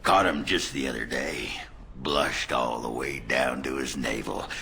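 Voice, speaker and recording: An actor playing a crude, boozy, middle-aged dwarf warrior in a fantasy game, blunt and teasing, with a gruff growl. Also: male voice, unhurried, sharp tone, a little hiss or hum, full character voice. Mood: gleeful mockery. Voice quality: very deep gravelly growl. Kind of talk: fantasy video game voice acting.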